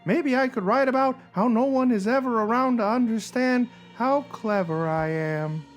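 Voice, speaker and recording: A woman's voice: on the high side, moderate pace, highs cut off, soft background music, natural voice. A woman playing a pretentious, frustrated poet from a cartoon, reading a blog in a brooding, melodramatic way.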